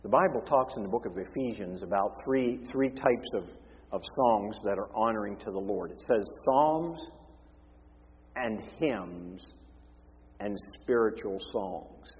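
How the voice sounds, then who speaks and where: A male pastor preaches a sermon with his voice going hoarse and husky. He shifts between forceful emphasis and hushed, quiet passages. The sound is dull and lo-fi, with a long reverb from the sanctuary around him. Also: lowish voice, a little slow.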